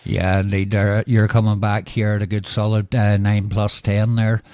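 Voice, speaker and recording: A Northern Irish man talks over a ham radio link with a mellow, dark tone. His voice is close and dry, without room echo. He speaks in a measured way, with lots of "uh" and "indeed there". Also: lowish voice, little pitch movement, clear, resonant tone. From Northern Ireland. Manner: measured, filler-heavy, signs off with "go ahead". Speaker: radio operator. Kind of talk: casual radio chat.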